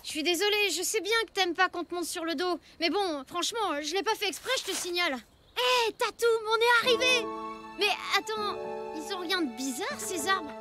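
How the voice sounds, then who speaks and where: Very high-pitched eager cartoon cave boy. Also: normal speed. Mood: chatty, excited. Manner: exclamatory, childlike, expressive. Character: young cave boy, eager, impulsive, self-conscious. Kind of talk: French animated children's series dubbing. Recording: loud music behind the voice.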